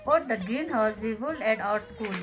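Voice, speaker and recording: A woman's voice: a little high, expressive, normal speed, soft background music. A woman reading a children's bedtime story aloud. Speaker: story reader.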